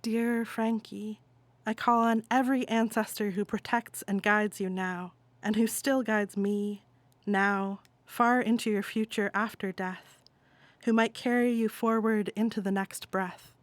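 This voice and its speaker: A playwright voicing a gentle, resolute figure from the afterlife in a solemn, deliberate audio play monologue. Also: medium pitch, fairly even intonation, no room sound, close to an everyday voice. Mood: solemn, urgent. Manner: solemn, deliberate, incantatory address. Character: Francis, gentle resolute spirit-visitor from the afterlife, pleading.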